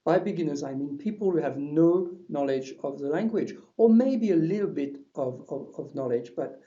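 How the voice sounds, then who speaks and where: A man's voice: a little high, expressive, normal speed, mellow, dull audio. A man with a French accent, a university French lecturer giving a webinar presentation, talking with frequent hesitations and restarts. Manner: hesitant, many restarts and fillers.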